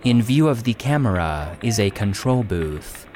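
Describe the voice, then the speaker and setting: Man as an impassive, low-voiced archive database. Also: sing-song, darkish tone, slight background noise. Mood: impassive, formal. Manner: formal, measured, impassive. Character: impassive male archive database reading incident logs. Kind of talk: scripted narration in an audio drama.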